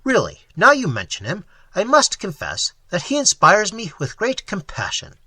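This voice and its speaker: A man's voice: high-pitched, huge pitch swings, normal speed, sharp tone, husky, no room sound, pitched above the performer's own voice, heavily acted. A man reading a languid, self-indulgent aristocrat with airy, drawling banter.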